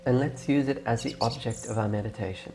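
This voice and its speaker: A man leading a guided meditation in a gentle, instructing way, leaving long pauses between phrases. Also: medium pitch, background noise. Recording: clear birdsong and nature ambience behind. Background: birdsong, nature ambience.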